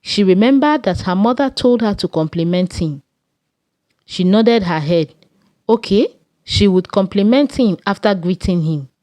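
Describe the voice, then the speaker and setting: A woman with a Nigerian accent narrating a scripted folktale in a measured storytelling way. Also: mid-range voice, lively intonation, mellow, dry recording.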